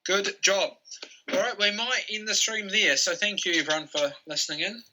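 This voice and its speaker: A man's voice: sits high, moderate pace, pushed and bright. A man hosting a quiz and reading out results, hesitant and often correcting himself. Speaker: quiz host. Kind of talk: announcing quiz results on a livestream.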